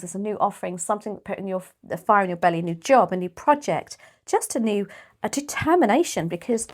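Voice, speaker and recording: A woman with a British accent giving a casual tarot reading, chatty and rambling, her voice a little croaky and often clearing her throat. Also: mid-range voice, darkish tone. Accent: British English. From United Kingdom. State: slightly croaky voice. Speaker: tarot reader.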